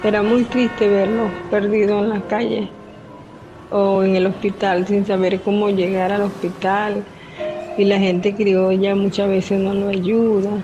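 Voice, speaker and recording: An older woman, a retired nurse from the Venezuelan Amazon, calmly narrating her own life story in the first person. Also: medium pitch, a little slow. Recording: dull lo-fi voice-over with music. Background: music.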